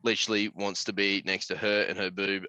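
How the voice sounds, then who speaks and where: A man's voice: low-pitched, little pitch movement, a little fast, pushed and bright, no room sound. An Australian man chatting casually as a podcast host, blokey and sweary, full of slang.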